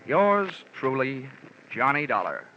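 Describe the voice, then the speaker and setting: An actor playing a hard-boiled, wry American insurance investigator with clipped sardonic delivery in 1940s radio style. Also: male voice, mid-range voice, big pitch swings, slow pace, heavy background noise. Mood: jaunty, sardonic. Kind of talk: 1940s radio acting, detective narration. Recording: muffled old radio recording.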